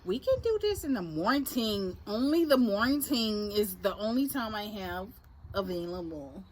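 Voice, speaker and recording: A woman's voice: mid-range voice, expressive, a little slow, slight background noise. A woman in her forties, an accountant, casually chatting and agreeing with her longtime friend. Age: 40s. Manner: agreeable, chiming in, laughs. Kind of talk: casual chat.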